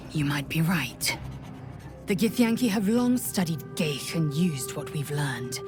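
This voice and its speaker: Fierce fantasy game warrior: deep for a woman, imperious, dramatic, hissing intensity. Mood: grudging resolve. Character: proud, fierce alien warrior woman, scornful and devout. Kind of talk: fantasy video game acting.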